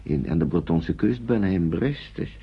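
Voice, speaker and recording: A weary, polite wartime refugee gentleman in a radio play. His voice is very low and husky, with formal, halting phrases that double back on themselves. The old recording sounds dull. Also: animated, exaggerated voice. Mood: worried, hesitant. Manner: formal, hesitant, repeating himself. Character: courteous weary older Englishman fleeing with children in wartime. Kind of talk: radio drama acting, naturalistic.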